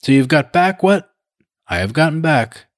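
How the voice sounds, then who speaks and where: A man's voice: on the high side, very animated, normal speed, no room sound, clean studio sound, voice pushed up. A man reading aloud, voicing a massive, imperious older lady of the aristocracy who speaks in a frosty, clipped, accusing way.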